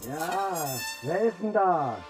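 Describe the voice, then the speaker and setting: Burnt out old junkie drummer, radio play, really slow sparse talk, music loud under it. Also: male voice, sits high, lively intonation. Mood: guarded. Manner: sparse, halting, mumbled phrases. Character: worn-out old junkie ex-drummer, wistful and guarded. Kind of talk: radio crime drama acting.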